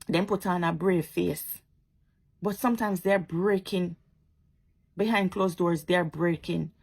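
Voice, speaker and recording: A woman's voice: mid-range voice, gravelly, darkish tone, husky. A woman with a Jamaican accent giving impassioned, preachy commentary to her social media viewers, repeating her points and turning sarcastic.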